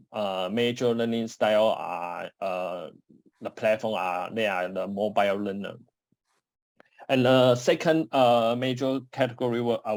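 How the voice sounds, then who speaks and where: Man from Taiwan, thirties, conference presenter, halting delivery, low voice, dry webcam audio. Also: no echo. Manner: halting, many uh fillers and restarts. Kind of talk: conference talk over slides. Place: home office or desk, indoors. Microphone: webcam on a video call.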